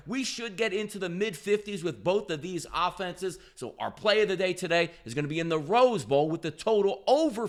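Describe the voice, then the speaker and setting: Man, fairly high-pitched voice, sports picks commentary, emphatic, upbeat, pitchman-style host. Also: fairly bright tone. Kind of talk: sports betting commentary to camera.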